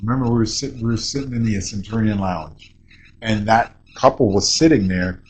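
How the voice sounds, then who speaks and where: An older man with a deep voice chatting casually as a podcast co-host, rambling and animated, with many restarts. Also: moderate pace. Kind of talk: casual chat.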